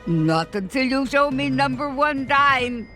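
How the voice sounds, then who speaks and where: A woman's voice: high-pitched, lively intonation, a little slow, sharp tone, full character voice. A woman voicing a scheming villainous sorceress duck, gloating in broken grammar. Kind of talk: video game cutscene acting. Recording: music behind the voice.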